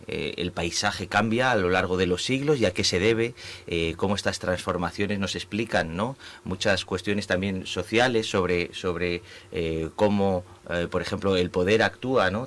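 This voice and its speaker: A man from Spain with a Spanish accent, a professor speaking as a guest in a radio interview, with many fillers and restarts. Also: sits low. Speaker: guest, urban planning professor. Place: radio studio.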